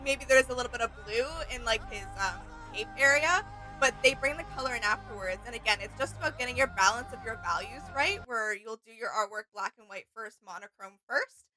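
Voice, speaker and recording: A woman's voice: fairly high pitch, fairly quick, faint music behind, rough recording. A woman chatting casually and enthusiastically as co-host of a reaction video. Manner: enthusiastic, appreciative. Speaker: co-host of a reaction channel, artist.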